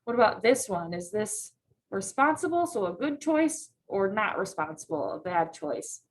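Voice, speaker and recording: A woman's voice: mid-range voice, big pitch swings, normal speed. A woman teaching a simple lesson, patiently reading choices aloud and checking in with her students. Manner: patient, checks in with frequent "right?" questions. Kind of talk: classroom lesson read-aloud.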